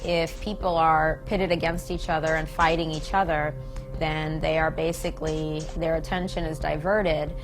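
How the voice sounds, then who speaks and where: Female, American, low register. Activist interviewee, deliberate and emphatic political commentary, music under the voice. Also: little pitch movement. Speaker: peace activist being interviewed.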